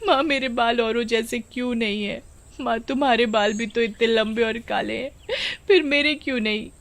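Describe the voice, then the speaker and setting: A woman voicing a sensitive, naive village girl with plaintive, expressive delivery in an animated story. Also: fairly high pitch, normal speed, voice pushed up. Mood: tearful, hurt.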